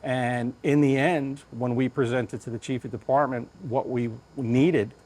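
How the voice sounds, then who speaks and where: A man being interviewed as a fire lieutenant, talking conversationally and deliberately in short, thought-out phrases. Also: medium pitch, fairly even intonation, a little mellow.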